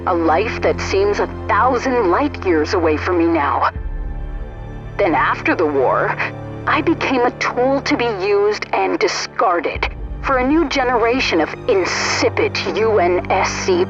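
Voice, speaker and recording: A woman's voice: lowish voice, muffled recording. A woman playing a defiant, ruthless rebel supersoldier turned leader, speaking resolutely in dramatic first-person audio drama. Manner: resolute, deliberate.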